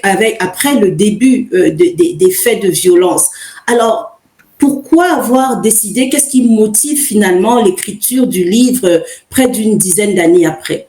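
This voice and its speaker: A woman's voice: mid-range voice, warm tone. A woman hosting an interview, phrasing her questions carefully and hesitantly. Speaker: interview host. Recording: roomy, echoing sound.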